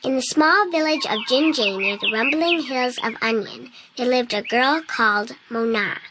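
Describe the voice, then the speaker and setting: A woman voicing a small winged girl who earnestly tells a children's tale, in video game dialogue, with loud noise behind. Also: a little high, moderate pace, natural voice. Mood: storytelling. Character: small winged girl, sweet and eager storyteller.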